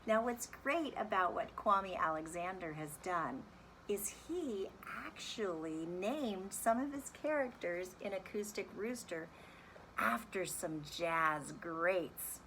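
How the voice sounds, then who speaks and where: Lady teacher doing a kids read-aloud, bright voice, unhurried, bit of noise on it. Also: lowish voice, rough recording. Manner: animated, deliberate, instructive. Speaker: music teacher reading aloud. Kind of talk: expressive children's read-aloud and lesson.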